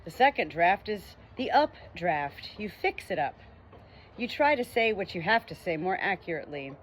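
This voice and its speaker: A woman reading a nonfiction book chapter aloud as an audiobook, with earnest, expressive emphasis. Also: on the low side, moderate pace, a little hiss or hum. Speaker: audiobook reader.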